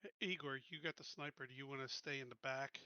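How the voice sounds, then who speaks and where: A man's voice: medium pitch, fast talker, sharp tone, clean tone, dry recording, dull audio. A man playing a tabletop game, casually organizing and directing the other players. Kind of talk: casual game strategy talk.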